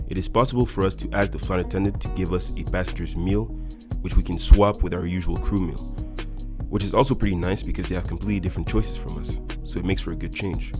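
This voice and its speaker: A young man with a very low voice, narrating a scripted voice-over as an airline pilot. He talks to his viewers in an upbeat, explanatory way. Loud background music runs under his voice. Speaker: airline pilot and video host.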